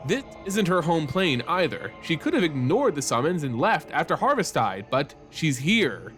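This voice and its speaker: A man's voice: fairly high pitch, sing-song, normal speed, fairly bright tone, soft background music. Man acting a firm, supportive male mage. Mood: firm, protective. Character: calm, supportive grown male mage defending his friend.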